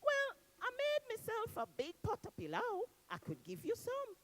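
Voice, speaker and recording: A woman voicing a sweet young village woman in a high, friendly voice. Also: wildly swooping pitch, moderate pace, a bit bright, full character voice.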